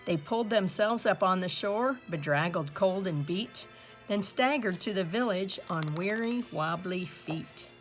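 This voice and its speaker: A woman reading a rhyming picture book aloud as an expressive storyteller. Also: mid-range voice, measured pace, faint music behind.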